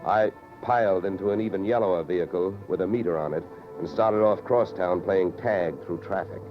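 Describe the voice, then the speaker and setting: Guy doing a wisecracking hard-boiled detective, low voice, dry delivery, old muffled radio show. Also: a little fast, music in the background, close to an everyday voice. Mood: wry narrating. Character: wry, hard-boiled male insurance investigator, coolly unflappable. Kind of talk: 1940s-50s radio detective narration. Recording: muffled vintage radio recording.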